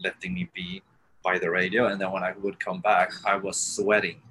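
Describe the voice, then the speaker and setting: Soft-spoken man. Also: low voice, very animated, creaky, breathy edge, poor audio. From Sweden. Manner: soft-spoken, halting, many restarts. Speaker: guest, author. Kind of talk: reflective interview storytelling.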